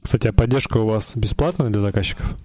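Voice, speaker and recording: A man's voice: fairly low pitch, fast talker, mellow, dry recording, soft background music. A man co-hosting a podcast and putting follow-up questions to the guests. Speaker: podcast co-host.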